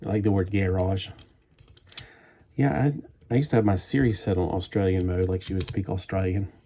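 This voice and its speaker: Low, dark-voiced man rambling while building. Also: fairly even intonation, moderate pace, a little hiss or hum. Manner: meandering, chatty, thinks aloud with frequent restarts. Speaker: streamer building a toy kit live. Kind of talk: rambling live-stream chat while building.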